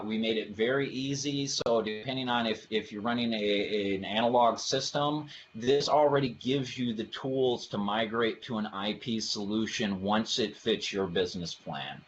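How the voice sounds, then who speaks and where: Low-voiced man presenting a technical webinar. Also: unhurried. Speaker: product presenter. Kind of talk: webinar product presentation with slides. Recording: dull lo-fi audio, faint background noise.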